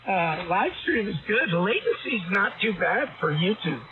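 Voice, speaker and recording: A man's voice: sits high, sing-song, normal speed. A man chatting casually as an amateur radio operator over a ham radio transmission. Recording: narrow phone-like radio audio, crowd-like babble behind.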